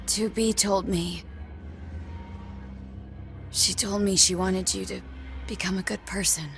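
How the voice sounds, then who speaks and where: A woman's voice: mid-range voice, fairly even intonation, slow, a bit bright, faint music behind. A woman playing a blunt, sarcastic android fighter in a video game, with dramatic delivery. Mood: solemn.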